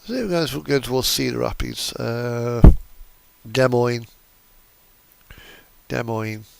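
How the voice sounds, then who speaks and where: A man's voice: mid-range voice, takes it slowly, creaky, dry recording. A man giving casual, unscripted commentary on a driving game, rambling and thinking aloud as he goes. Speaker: gaming video host.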